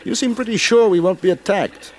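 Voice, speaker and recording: A man's voice: high-pitched, brisk, slight background noise, close to an everyday voice. A man playing a composed former cavalry officer who narrates his adventure in an old-time radio western, with an understated, dryly laconic manner. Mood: wary, wry. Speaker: lead actor and first-person narrator. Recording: old radio recording with music.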